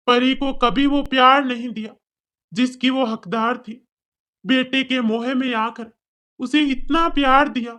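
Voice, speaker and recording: Lady doing an older regretful dad in a cartoon story, earnest n respectful, slightly high for the part. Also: fairly bright tone. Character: older fathers, humble, regretful, gently moralizing men.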